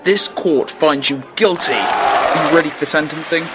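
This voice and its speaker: A man's voice: fairly high pitch, normal speed, lightly acted. An actor playing a stern judge in an audio drama, solemn and declamatory as he passes sentence. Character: stern male judge pronouncing eternal sentence. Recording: voice under loud music and crowd.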